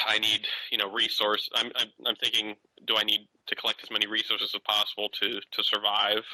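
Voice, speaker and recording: Male caller, 30s, hesitant, lowish forceful voice, dry sound. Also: no echo, low-quality recording. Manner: hesitant, many fillers and restarts. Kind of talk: casual phone-in conversation.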